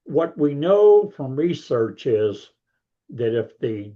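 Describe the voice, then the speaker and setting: A man's voice: medium pitch, sing-song, slow pace, highs cut off. An older man, a grandparent, talking casually as an author guest in an interview, in a big voice with a folksy, rambling manner.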